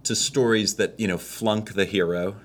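A man's voice: on the low side, steady tone, moderate pace. A man hosting an interview, asking long, searching questions with frequent restarts. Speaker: podcast host. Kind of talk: conversational podcast interview.

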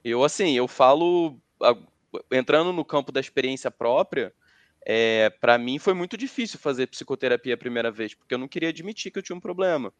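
A man's voice: medium pitch, lively intonation, a little fast, no echo. A man with a Brazilian accent talking casually and reflectively in a group discussion.

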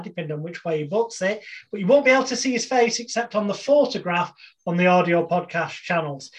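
A man hosting an interview show, introducing it in an announcer-like way with a drawn-out build-up. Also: high-pitched. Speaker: host of a hypnosis interview show.

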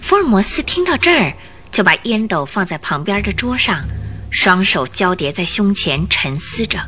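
A woman's voice: mid-range voice, sharp tone. A woman narrating a radio drama as scripted, dramatic storytelling.

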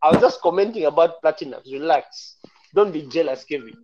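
A man's voice: a little high, animated, fairly quick, a little mellow, highs cut off. A man co-hosting a podcast, teasing his friends with playful, laughing banter. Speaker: podcast co-host. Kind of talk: casual chat among friends.

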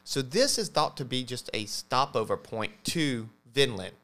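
Man, podcast host, medium pitch, casual explanatory talk, halting and self-correcting. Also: lively intonation, a bit bright.

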